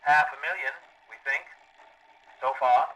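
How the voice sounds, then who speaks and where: An actor in an audio drama playing a blunt, exasperated, hard-nosed modern sheriff. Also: male voice, mid-range voice, a little slow, pushed and bright, muffled recording, slight background noise. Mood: impatient.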